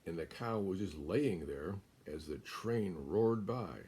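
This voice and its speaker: An American man in his seventies reading a children's picture book aloud as a guest storyteller, in a deliberate, emphatic way. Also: low-pitched, expressive, warm tone, breathy edge. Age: 70s. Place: small room indoors.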